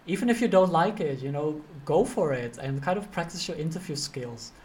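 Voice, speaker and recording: A man's voice: a little high, lively intonation, moderate pace, a little mellow. A man with a Dutch accent speaking informally and directly as a career coach teaching a motivational online course. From Netherlands.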